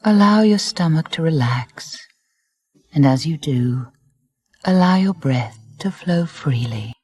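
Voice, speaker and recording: A woman narrating a guided meditation in a soft, breathy voice, soothing and deliberate, with gentle phrasing and pauses. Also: low-pitched, lively intonation, dry recording. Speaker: relaxation guide. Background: music.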